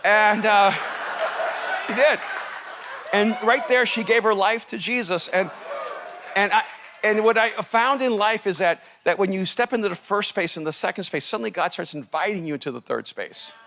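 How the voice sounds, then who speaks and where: A man from El Salvador, a native Spanish speaker, preaching as a pastor and telling a story in an animated, laughing manner. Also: high-pitched, moderate pace, slight background noise. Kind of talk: storytelling sermon.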